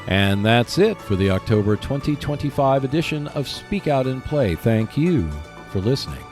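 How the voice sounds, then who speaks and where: A man presents a solo podcast in a mid-pitched voice with lively, swinging intonation. His delivery is that of prepared announcements and commentary. Faint music plays behind him. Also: normal speed. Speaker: podcast host.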